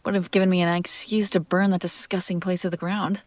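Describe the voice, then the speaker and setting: Low-voiced, sardonic demon woman, acted by a woman. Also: level tone, brisk, no room sound, lightly acted. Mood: baffled, irritated. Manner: teasing, wry, conversational, breaking into hushed whispers. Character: possessive, sardonic, curious female demon who bought an angel. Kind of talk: intimate audio roleplay drama addressed to the listener.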